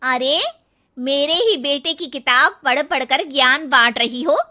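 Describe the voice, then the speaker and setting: Woman voicing a sharp, greedy mother-in-law. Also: high-pitched, unhurried, voice pushed up. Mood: demanding. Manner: scolding, sarcastic, demanding. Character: greedy, bullying middle-aged Indian mother-in-law. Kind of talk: cartoon moral-story acting.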